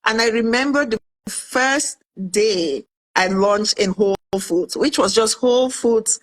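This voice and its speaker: A woman's voice: fairly high pitch, a little slow. A woman in her fifties from Cameroon, a guest telling her story in a casual interview and acting out what she said back then. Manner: animated, acts out her own past remarks in quotes. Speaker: guest, author and food entrepreneur.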